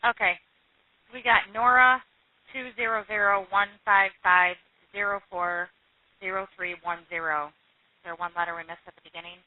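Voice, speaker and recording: A woman's voice: mid-range voice, steady tone, moderate pace, no echo. Muffled woman dispatcher, clipped and businesslike. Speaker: police radio dispatcher. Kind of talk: terse procedural radio dispatch talk. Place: dispatch room, recorded over phone and radio lines. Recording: narrow muffled phone and radio line.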